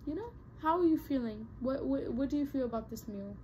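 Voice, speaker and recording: A woman's voice: sits high, expressive, fairly quick, warm tone, highs cut off, slight background noise. A young woman vlogger chatting casually to her viewers, rambling with lots of fillers and restarts. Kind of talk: casual talk to camera.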